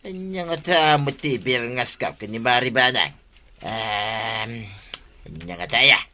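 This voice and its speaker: A man's voice: mid-range voice, measured pace, bright, forceful tone, slight background noise. A man playing a mischievous, tipsy village man who rambles and mocks people in a comedy radio drama. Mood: tipsy mocking. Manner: mocking, rambling, name-calling.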